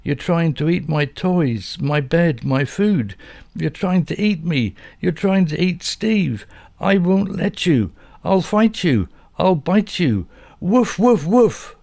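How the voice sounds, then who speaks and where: A man doing a high yapping excitable dog. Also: slow, vocal fry, no room sound, exaggerated voice. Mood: angry barking. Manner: frantic yapping, barks aloud. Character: excitable young female pet dog.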